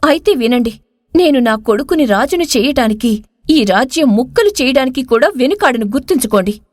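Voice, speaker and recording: A woman's voice: high-pitched, expressive, fairly quick, darkish tone, dry recording, clean studio sound. A woman voicing a stubborn, ambitious queen and mother who speaks insistently in a dramatized moral story. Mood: demanding, defiant. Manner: insistent, imperious.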